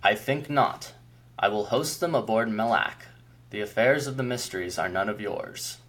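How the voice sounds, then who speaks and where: An ancient, commanding keeper of mysteries, as a man reading the story puts him on, his words grave and measured. The voice sits low with a husky edge. He sounds haughty, as if nothing could threaten him. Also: a bit bright. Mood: stern. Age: older. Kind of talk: audiobook character voice.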